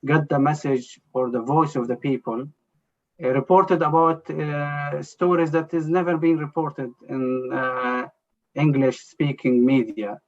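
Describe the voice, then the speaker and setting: Halting man, gravelly. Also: on the high side, steady tone. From Jerusalem. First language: Arabic. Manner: hesitant, halting, full of "you know" and restarts. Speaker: journalist guest. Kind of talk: conversational interview answers. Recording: dull lo-fi sound.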